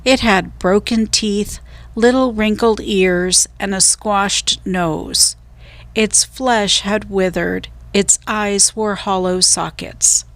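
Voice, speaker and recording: Slow, deliberate woman reading a children's story. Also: medium pitch, fairly even intonation, dry recording. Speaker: storybook reader. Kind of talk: scripted children's story narration.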